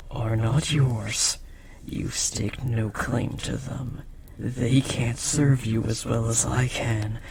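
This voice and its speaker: Man playing a gravelly, possessive demon. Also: medium pitch. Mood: proud, possessive. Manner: intense, possessive, formal speech with dramatic pauses. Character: powerful male demon bound to a sorcerer, possessive and devoted. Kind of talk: intimate scripted audio roleplay.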